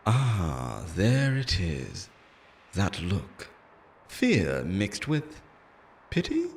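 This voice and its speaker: A man's voice: sits low, huge pitch swings, extremely slow, slight background noise, full character voice. A man playing an obsessive immortal lover in an audio roleplay, theatrical and intense, shifting between pleading tenderness and menace. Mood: taunting, menacing. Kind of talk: dramatic ASMR-style audio roleplay monologue.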